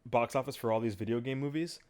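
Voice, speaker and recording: A man's voice: mid-range voice, fast, darkish tone, clear, resonant tone. A man co-hosting a casual podcast, joking around and rambling with frequent restarts. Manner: joking, rambling banter, often trails off. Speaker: podcast co-host.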